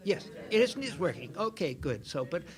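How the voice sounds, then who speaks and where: An older man, a Jesuit priest, giving an informal lecture in a soft voice, chatty and self-deprecating, often restarting his sentences. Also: fairly high pitch, very animated, moderate pace. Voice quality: soft-spoken. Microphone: microphone.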